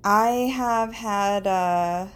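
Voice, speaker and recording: A woman's voice: medium pitch, extremely slow, smooth. A woman in her thirties speaking casually and reflectively, as if recording a personal voice memo, with some hesitation. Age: 30s.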